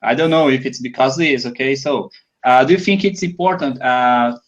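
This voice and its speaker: A man's voice: mid-range voice, moderate pace, lo-fi sound. A Brazilian man, a native Portuguese speaker, asking interview questions in English on a video call, hesitant and searching for words. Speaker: host, English teacher.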